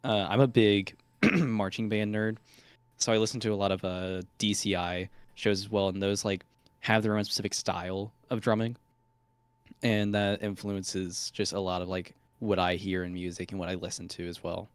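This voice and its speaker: A man's voice: lowish voice, fairly even intonation, moderate pace, smooth, dry recording. A young man in a casual call interview, talking hesitantly with many fillers. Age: young adult. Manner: hesitant, filler-heavy speech.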